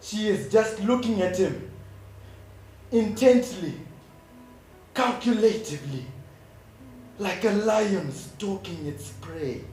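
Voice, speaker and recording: A man delivers a dramatic stage monologue in an extremely high voice. His storytelling is intense and emotive, built from short emphatic phrases. The sound is roomy, with faint noise underneath. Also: slow, pauses often, a little mellow. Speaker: stage actor narrating as a child.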